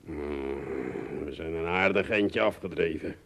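An actor playing a sensible, good-natured merchant ship captain in a radio play, hesitating and repeating words. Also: male voice, very deep voice, slow, vocal fry, fairly bright tone, heavily acted. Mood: pondering.

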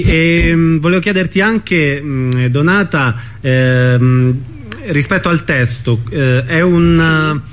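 A man hosting a radio interview, putting his questions in a hesitant, halting way. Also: fairly high pitch. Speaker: radio host interviewing a guest.

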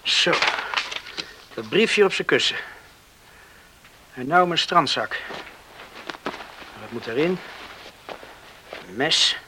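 A man's voice: fairly high pitch, animated, slow, long pauses, noisy background. An actor playing a restless young man in a Dutch radio play, stammering a little when challenged. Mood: musing. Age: young adult. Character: restless young man craving freedom, talks to his dog.

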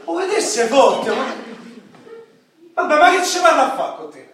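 A woman's voice: high-pitched, sing-song, measured pace, fairly bright tone, full character voice. An actress in a radio drama playing an earthy, blunt, argumentative woman with a colloquial Roman Italian accent, exasperated and defiant. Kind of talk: stage-like radio drama acting. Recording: echoey distant room, noisy.

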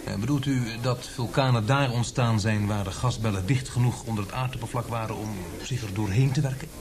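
A man's voice: medium pitch, a little fast, crowd noise, close to an everyday voice. An actor in a radio drama playing a polite, hesitant male newspaper reporter who questions deferentially. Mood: inquisitive.

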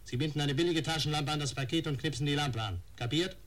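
An actor playing a cold, menacing blackmailer in a German radio crime drama, giving curt, taunting commands. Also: male voice, fairly high pitch, fast talker, fairly bright tone, close to an everyday voice. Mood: cold, threatening.

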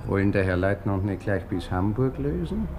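An actor playing a smug, mocking railway clerk with a colloquial Viennese accent in a radio drama. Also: male voice, on the low side, very animated, normal speed, noisy background. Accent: Viennese Austrian. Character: sneering, smug baker dressed as railway clerk. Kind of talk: 1960s German radio drama acting.

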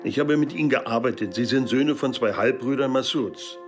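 An actor playing a resolute special-forces leader in a German radio drama, speaking in a terse, matter-of-fact way. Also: male voice, mid-range voice, normal speed, lightly acted. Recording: music behind the voice.

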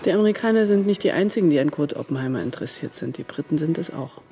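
A woman's voice: sits low, expressive, fast, warm tone. An actress playing a shrewd, worldly German woman agent in a radio crime drama, cool and matter-of-fact in manner. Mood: knowing, matter-of-fact.

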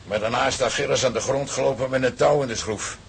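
An actor playing a gruff, practical older father from a seafaring family in a radio drama, with a gravelly voice. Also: mid-range voice, fairly even intonation, quick pace, a little hiss or hum, lightly acted. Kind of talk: Dutch radio drama acting.

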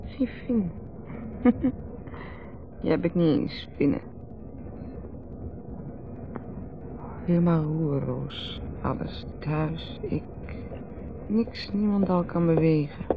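Dreamy, rambling Dutch wife. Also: female voice, low-pitched, animated, slow, long pauses, noisy background, heavily acted. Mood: musing. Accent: Netherlands Dutch. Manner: rambling, repetitive, musing. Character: dreamy, rambling, teasing wife, drinking under a full moon. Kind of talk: 1970s radio drama acting. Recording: dull old radio recording with music.

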